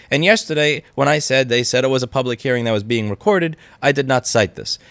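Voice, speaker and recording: A man's voice: medium pitch, no echo. Indignant man ranting into a dry studio mic. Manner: indignant, argumentative, emphatic rising stresses. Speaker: repair shop owner and channel host. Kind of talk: direct-to-camera commentary. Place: indoor room with brick wall and couch. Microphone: studio mic on boom arm in front.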